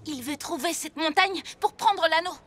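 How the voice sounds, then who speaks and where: A woman's voice: extremely high pitch, moderate pace, music in the background. A woman voicing an earnest, decisive six-year-old boy superhero in a cartoon dub. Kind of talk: French cartoon dubbing.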